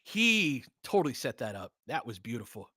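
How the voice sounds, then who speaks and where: A man calls a basketball game play by play in an informal fan style. His voice is bright and forceful, and his pitch swings widely as he gets worked up and swears freely. The recording is dry, with no room sound. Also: moderate pace, breathy edge, no echo. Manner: excitable, whooping and swearing, partisan. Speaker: play-by-play commentator and host.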